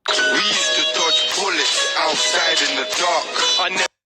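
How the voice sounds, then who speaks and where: A man rapping and speaking on a track, with guitar music playing loudly behind him. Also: on the high side, moderate pace. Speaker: rapper. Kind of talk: rap track with spoken intro. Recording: loud guitar music under the voice. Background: plucked guitar music.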